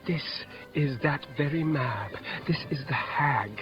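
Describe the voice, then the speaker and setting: An actor playing a witty, teasing young gentleman in a theatrical, playful 1950s radio Shakespeare performance. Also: a little high, measured pace, natural voice. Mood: whimsical, storytelling. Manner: theatrical, playful verse delivery. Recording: muffled old radio broadcast, music behind.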